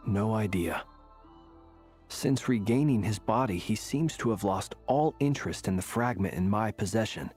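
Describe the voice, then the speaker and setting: A man playing a composed, cautious investigator in a video game, with a husky voice and measured, serious delivery. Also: lowish voice, animated, darkish tone, soft background music. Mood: grave. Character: composed, cautious male investigator, calm leader.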